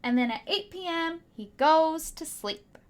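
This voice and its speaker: A woman teacher reading a children's picture book aloud with dramatic emphasis and hushed asides to young listeners. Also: very high-pitched, animated, a little slow.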